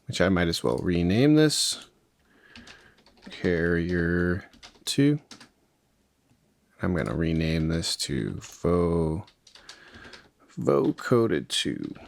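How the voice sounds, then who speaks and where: Low-voiced man giving a casual software tutorial. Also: lively intonation, very slow, vocal fry, pauses often. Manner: informal, conversational instruction. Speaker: music production tutorial presenter.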